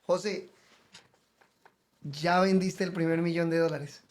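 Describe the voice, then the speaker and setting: A man's voice: on the high side, steady tone, takes it slowly, darkish tone. A male podcast host interviewing a guest with emphatic, coaching energy, often repeating the guest's name.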